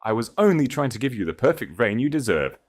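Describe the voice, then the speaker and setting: A man's voice: mid-range voice, sing-song, fast, voice pushed up. A haughty, scheming noblewoman, acted by a male narrator in a storybook romance. The voice is lifted well above the narration, desperate and pleading to the edge of hysteria.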